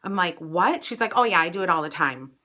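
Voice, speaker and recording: An American woman vlogger telling a personal story casually, in a chatty, animated way with dramatic emphasis. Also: on the low side, a little fast.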